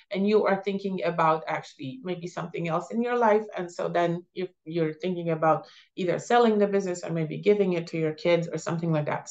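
A middle-aged woman with a Polish accent fields webinar questions over a video call, pausing and restarting often as she answers. Her voice sits at a medium pitch, and the call audio sounds slightly dull and lo-fi. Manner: halting, many fillers and restarts. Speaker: business advisor answering questions.

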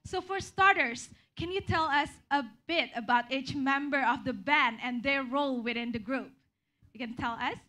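High bright-voiced excitable woman host. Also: moderate pace. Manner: excitable, cheering. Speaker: event host. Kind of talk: live stage interview. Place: small venue stage with audience. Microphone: handheld.

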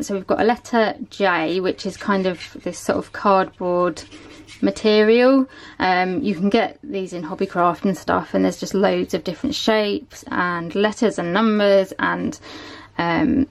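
A woman, chatting casually to camera at home and showing items, with lots of ums and a voice that is going a bit hoarse. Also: mid-range voice. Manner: chatty, many ums, shows items one by one. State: losing her voice a bit. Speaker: vlogger. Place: small room at home, indoors.

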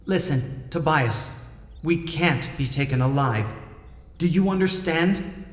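A man's voice: a little high, slow pace, pitched above the performer's own voice. Man voicing an echoing, earnest brave teenage girl. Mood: grave pleading. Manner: earnest, urgent, pleading. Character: brave, resolute teenage girl facing death. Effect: echoing telepathic-voice reverb. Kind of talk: dramatised audiobook dialogue. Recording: echoing long artificial reverb.

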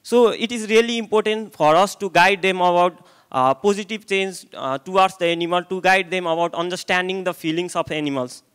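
A young man with a Nepali accent speaks into a handheld microphone at a conference. His voice sits fairly high and sounds clean and smooth. He hesitates often, filling pauses and restarting phrases. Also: a bit bright. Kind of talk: conference presentation. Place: hotel conference room, indoors.

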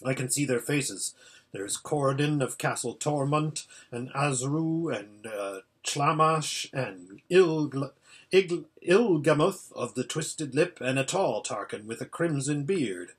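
A man's voice: mid-range voice, animated, slow pace, slightly rough. A man voicing an impatient old hermit seer in a storybook, giving an anxious, exclamatory running report. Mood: watchful, grave. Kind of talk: storybook character voice.

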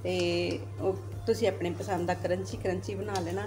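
A woman's voice: fairly low pitch, level tone, moderate pace, music in the background. An older woman from Punjab with a Punjabi accent, giving a chatty, homely cooking demonstration in her home kitchen and repeating herself for emphasis. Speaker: cooking vlogger.

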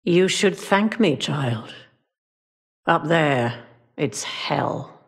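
Gravelly, weary older woman guardian. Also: low-pitched, animated, exaggerated voice. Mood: grim, regretful. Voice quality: husky, gravelly. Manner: grave, measured, solemn. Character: weary, sorrowful masked older woman guarding the way, guilt-ridden. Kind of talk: video game character acting.

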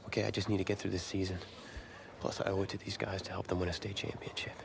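An actor playing a proud, defensive young star football player in a video game cutscene. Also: male voice, deep voice, level tone, fast talker, gravelly. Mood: pleading, defensive. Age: young adult. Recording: noisy ambience behind the voice.

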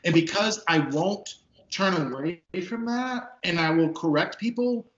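Booming, emphatic man on a video call. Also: animated, measured pace, fairly bright tone. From Texas. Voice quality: big booming voice. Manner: emphatic, repeats words when stressing a point. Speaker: interview guest, police sergeant. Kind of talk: emotional, earnest interview talk. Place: office at a desk. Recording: echoey, dull video call audio.

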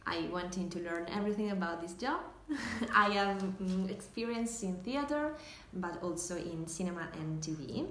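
Italian actress in an echoey room. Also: female voice, fairly low pitch, expressive, normal speed, a bit bright. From northern Italy. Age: young adult. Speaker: actress introducing herself. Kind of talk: casual self-introduction to camera. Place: small indoor room, seated at table. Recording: echoey room, faint hiss.

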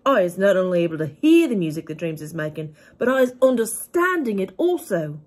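A gentle old giant talks big and loud, jumbling his grammar and coining odd words, as a woman reads him out of a storybook. He sounds earnest and kindly, a storybook giant. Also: sing-song, fairly quick. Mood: earnest, insistent. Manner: mangled grammar, odd made-up words, booming.

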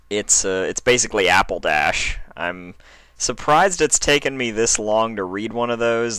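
A man's voice: fairly low pitch, fairly bright tone, clear, resonant tone, no room sound. A man reading stories aloud as narrator, with a casual, storytelling style. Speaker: fan fiction reader and narrator.

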